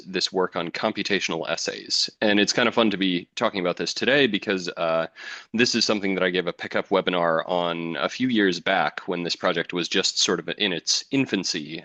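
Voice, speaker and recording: A man in his thirties from Wisconsin with an American accent, presenting a physics education webinar in a relaxed, chatty way with many ums. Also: low voice, dry recording. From Wisconsin, United States. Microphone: computer mic on a video call.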